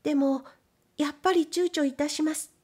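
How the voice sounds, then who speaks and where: A young orphan girl from a storybook, performed by a woman narrator, pitched high. She is earnest and a little hesitant, choosing formal, deferential words with care. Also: fairly even intonation, normal speed, darkish tone, close to an everyday voice. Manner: deferential, careful, formal politeness. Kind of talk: audiobook character reading.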